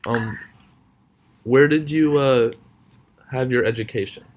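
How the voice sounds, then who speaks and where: A man's voice: medium pitch, slow. A young man interviewing someone, asking questions hesitantly with lots of ums.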